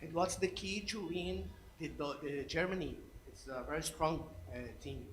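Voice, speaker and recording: A man's voice: fairly high pitch, normal speed, noisy background. A male reporter whose first language is Portuguese, asking questions in hesitant, apologetic English. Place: press conference room.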